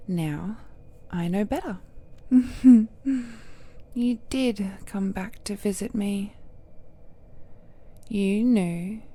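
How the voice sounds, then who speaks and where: An ancient cursed villainess, acted by a woman, speaks slowly and intimately, slipping toward a whisper. Her voice is dark and soft with some gravel in it, possessive and brooding, as in a romantic audio drama. A faint noise sits behind her. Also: mid-range voice. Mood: regretful, wistful. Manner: breathy, slow, half-whispered, dramatic pauses, soft sighs and chuckles.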